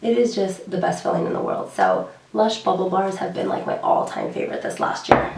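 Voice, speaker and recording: A young woman with a hoarse, gravelly voice talks through her beauty favorites in a loose, chatty way, full of fillers and repeated words. She sits a little back from the mic, and the room around her rings with echo. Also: fairly low pitch, sing-song, brisk, distant mic, background noise. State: hoarse, recovering from lost voice. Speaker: beauty vlogger. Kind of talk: casual chat to camera.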